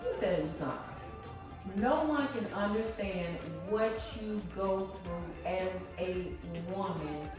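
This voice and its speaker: A woman's voice: medium pitch, measured pace, distant mic, over music. A woman in her fifties speaking to her friends with emphatic, preachy conviction, often restarting and repeating herself. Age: 50s. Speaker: trip guest. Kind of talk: casual group chat. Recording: echoey room, away from mic.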